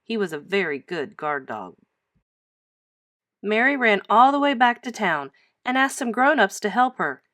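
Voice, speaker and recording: Very animated woman reading a storybook. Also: mid-range voice, measured pace, pauses often, dry recording, studio quality. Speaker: teacher reading aloud. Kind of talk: expressive children's storybook read-aloud.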